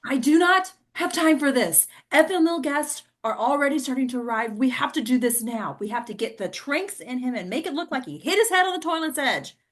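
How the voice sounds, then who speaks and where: Actress playing frantic female political aide, high-pitched, bossy, exasperated, comedy table read. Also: lively intonation, fairly quick, hardly any pauses. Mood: frantic, commanding. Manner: commanding, exasperated.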